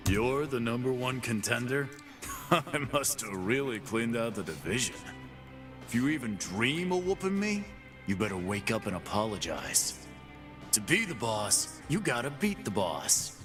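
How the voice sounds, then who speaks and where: A brawling fight-pit boss, gruff, boastful, unhurried drawl, swooping pitch, game voice lines over music. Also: male voice, very animated. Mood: cocky. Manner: gruff, boastful trash talk. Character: cocky brawling male fight-pit boss, swaggering tough.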